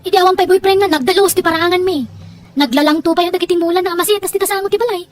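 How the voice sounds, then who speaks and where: A very high-pitched, fast-talking young daughter in a radio-style drama acted by a woman. She nags and scolds, full of reproach and disapproval. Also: soft background music. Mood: complaining. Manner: nagging, reproachful. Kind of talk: Ilocano audio drama dialogue.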